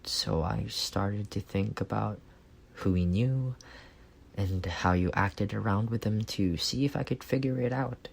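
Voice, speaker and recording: Slow, low-voiced reserved young man acted by a man. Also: gravelly, breathy edge, heavily acted. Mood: subdued, pensive. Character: reserved, analytical young man confessing love to best friend. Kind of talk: intimate ASMR roleplay acting.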